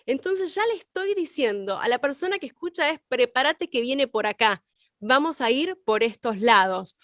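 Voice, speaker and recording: A woman's voice: high voice, expressive, moderate pace, fairly bright tone, no room sound, studio quality. A woman explaining as a teacher in an informal open class, with examples and rhetorical questions. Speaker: radio workshop teacher.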